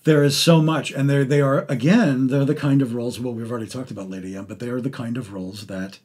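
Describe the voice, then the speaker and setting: A middle-aged man hosting an interview in a casual, effusive way, rambling and halting as he talks about the arts. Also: mid-range voice, fairly even intonation, darkish tone, breathy edge. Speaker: podcast host interviewing an actor. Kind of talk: casual, enthusiastic arts conversation.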